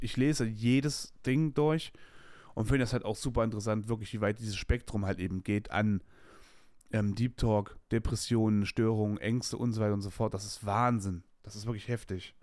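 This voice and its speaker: Podcast host, male, late 20s; rambling candid monologue, lowish voice, dry close mic. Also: steady tone, brisk, a little mellow, no echo. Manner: rambling and candid, with restarts and slang. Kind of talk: casual personal monologue.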